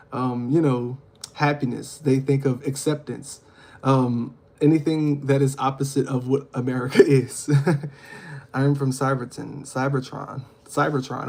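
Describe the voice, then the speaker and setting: A man's voice: mid-range voice, a little mellow. Man, livestream host, casual, reads comments aloud, lots of ums and uhs, phone mic. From Louisiana. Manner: talks to viewers, reads comments aloud, frequent fillers.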